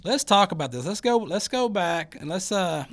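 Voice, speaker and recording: A man hosts a casual talk show, rambling through rodeo news in a folksy way with plenty of fillers and restarts. His voice sits a little on the high side for a man. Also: lively intonation, moderate pace, a bit bright. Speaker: show host. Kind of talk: casual news chat and interview.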